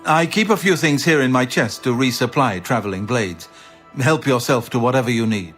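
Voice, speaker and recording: A male actor playing a grave, learned former royal bodyguard captain in a fantasy video game, speaking in a measured, solemn way. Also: medium pitch, fairly bright tone, soft background music, close to an everyday voice. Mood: earnest, urging. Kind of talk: scripted fantasy game dialogue.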